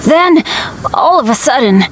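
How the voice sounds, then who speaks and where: A woman voicing an eager, earnest teenage boy adventurer in a video game, boyish and excitable. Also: high voice, unhurried, noisy background. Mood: dramatic, boastful. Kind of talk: video game character acting.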